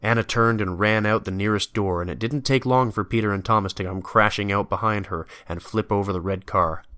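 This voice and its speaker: A man's voice: on the low side, fairly even intonation, brisk, sharp tone, no room sound. A man narrating a scripted audio drama.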